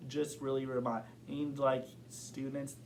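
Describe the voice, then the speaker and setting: A man's voice: mid-range voice, level tone, slow, a little hiss or hum. A young man who stutters, answering interview questions openly and thoughtfully, with many fillers and repeated words. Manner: thoughtful, open. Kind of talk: casual interview.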